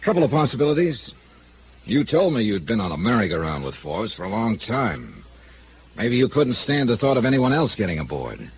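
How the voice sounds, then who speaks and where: Hard-boiled male investigator, sardonic and world-weary, very animated swings in pitch, vintage phone-like radio sound. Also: brisk, slight background noise. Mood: skeptical, probing. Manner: wry, clipped, sardonic delivery. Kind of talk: 1950s radio noir acting and narration. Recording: old narrow-band radio recording with music.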